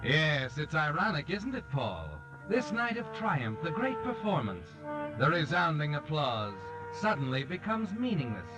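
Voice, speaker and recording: An actor playing a knowing, sardonic mystery narrator, measured and dramatic, in 1940s radio style. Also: male voice, a little high, sing-song. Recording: dull vintage radio, music underneath. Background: dramatic music.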